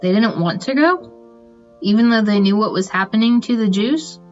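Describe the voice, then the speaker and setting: A woman plays a sympathetic, inquisitive interviewer in a radio play. She speaks gently at a medium pitch. The sound is a bit muffled, with soft music underneath. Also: lively intonation, moderate pace, close to an everyday voice. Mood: concerned, curious. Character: sympathetic female interviewer listening to survivor.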